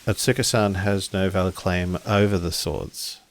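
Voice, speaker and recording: A man acting as game master, narrating a tabletop roleplaying game in a deliberate, halting way and relaying what characters say. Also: deep voice, fairly even intonation, vocal fry, slight background noise.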